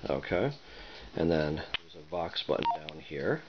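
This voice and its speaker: A man's voice: very deep voice, expressive, unhurried. A man giving a casual product demonstration, thinking aloud in a tentative way as he fiddles. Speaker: product reviewer. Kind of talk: casual unboxing and demonstration talk. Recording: dull lo-fi recording, noisy with clicks and beeps.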